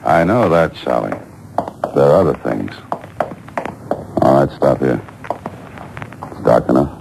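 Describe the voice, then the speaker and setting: A man's voice: rumbling low, animated, a little slow, vocal fry, exaggerated voice. An American actor playing a coolly menacing, wry and taunting man on the run in an old-time radio drama. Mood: cool menace. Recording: noisy old radio show taped off air.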